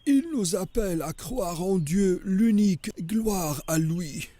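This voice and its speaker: Husky, solemn, high-pitched cartoon sheikh. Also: male voice, expressive, dark tone, full character voice. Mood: solemn teaching. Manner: measured, grave, preaching. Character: pious, solemn sheikh teaching about the prophet. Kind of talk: French cartoon dubbing.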